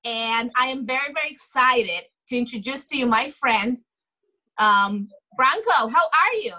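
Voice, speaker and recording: A woman's voice: fairly high pitch, animated, unhurried, sharp tone, poor audio. A woman hosting a video call interview, chatty and enthusiastic as she asks questions. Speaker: host. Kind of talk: casual interview. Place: indoor home room. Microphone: video call webcam.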